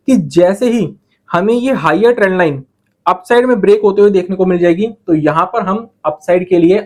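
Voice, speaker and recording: A man's voice: sits high, darkish tone. A man narrating an explanatory tutorial as a trading instructor, methodically repeating points and answering his own questions.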